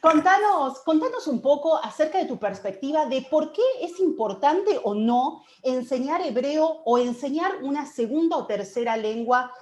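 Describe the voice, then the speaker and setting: A woman with an Argentine Spanish accent hosts an interview. She asks her questions in a friendly, lively way. Her voice sits a little above the usual pitch. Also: normal speed, few pauses. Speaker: host. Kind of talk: interview hosting.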